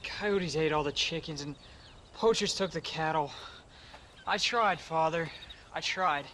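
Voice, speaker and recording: An actor playing an earnest, dutiful teenage farm son in a video game cutscene. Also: male voice, a little high, measured pace, sharp tone, a little hiss or hum. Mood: apologetic, dutiful.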